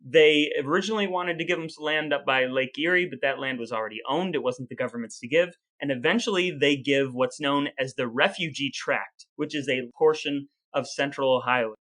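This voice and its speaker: A man's voice: medium pitch, a little fast, hardly any pauses, fairly bright tone. A man co-hosting a casual podcast with his brother, explaining a history topic at length and quizzing him along the way. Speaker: podcast co-host. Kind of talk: casual chat.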